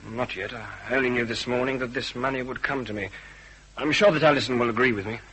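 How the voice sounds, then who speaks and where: A man's voice: mid-range voice, fast talker, a bit bright, breathy edge, low-quality recording. A man playing an anxious, well-bred British husband in a radio drama, formal and stiff as he justifies himself with some hesitation. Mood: earnest, defensive. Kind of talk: radio detective drama acting.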